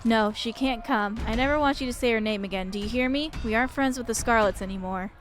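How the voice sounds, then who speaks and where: A woman voicing an anxious, hurried mother who coaxes her small child in a visual novel. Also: fairly high pitch, natural voice. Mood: stern, urgent.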